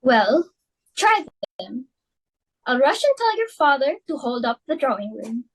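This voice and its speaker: A woman's voice: high-pitched, very animated, a little slow, slightly rough, heavily acted, rough recording. A young woman playing Mrs. Pringle, fussy hostess. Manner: flustered and theatrical. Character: fussy, status-hungry society hostess and mother. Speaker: student actor. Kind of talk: amateur dramatic reading.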